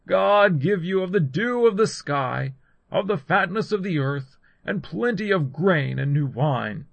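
Man acting solemn aged father, raised pitch. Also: expressive, unhurried, creaky, no echo, heavily acted. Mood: solemn, blessing. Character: aged father, solemn, trembling, blessing his sons.

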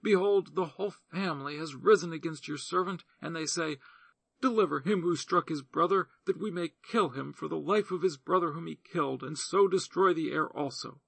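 A woman's voice: low-pitched, moderate pace, vocal fry, breathy edge, dry recording, pitched above the performer's own voice, heavily acted. A man reading the part of a grieving widow pleading earnestly before a king. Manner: earnest, imploring.

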